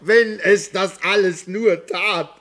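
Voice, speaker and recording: A railway signalman haunted by a ghost, in radio drama style. His voice is fairly high for a man and rough-edged. He is fearful and tormented, agitated and halting in his speech. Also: fairly even intonation, a bit bright, heavily acted. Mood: anguished. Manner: agitated, halting, swinging from whispers to desperate outbursts. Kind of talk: German radio play acting.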